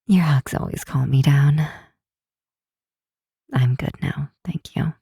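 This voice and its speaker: A woman's voice: low-pitched, gravelly, warm tone, husky, dry recording, clean studio sound, heavily acted. A woman playing a cynical, sardonic but secretly affectionate grinch girl in an ASMR roleplay, drawing out words for emphasis. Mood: soft, vulnerable. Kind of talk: ASMR roleplay, scripted acting to the listener.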